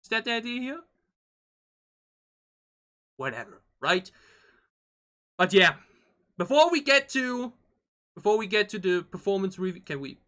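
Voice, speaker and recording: A man giving casual reaction commentary as a video host, excitable and rambling, often repeating his phrases. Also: high-pitched, measured pace, long pauses. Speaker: host of a K-pop reaction channel. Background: pop music from the video being watched.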